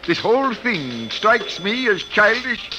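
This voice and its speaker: An actor playing a stubborn, idealistic college professor, earnest and argumentative, in old radio drama style. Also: male voice, sits high, sing-song, slow pace, exaggerated voice. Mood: indignant. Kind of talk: 1950s radio drama acting. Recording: dull old radio recording with music.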